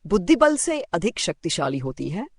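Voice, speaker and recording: A man's voice: high-pitched, very animated, moderate pace, a little hiss or hum. A man narrating a scripted moral story for children as a storyteller.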